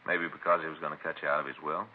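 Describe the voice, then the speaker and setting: A man's voice: rumbling low, level tone, racing. A man playing a terse, skeptical police detective in a 1950s radio crime drama, with blunt, dry questioning. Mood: dry, probing. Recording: muffled vintage radio recording.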